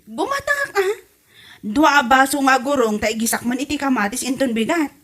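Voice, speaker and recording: A gossipy neighbour woman played by an actress in a comedy audio drama. She is chatty and animated. Her high voice rises and falls widely. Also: moderate pace, exaggerated voice. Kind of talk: comedy radio drama acting.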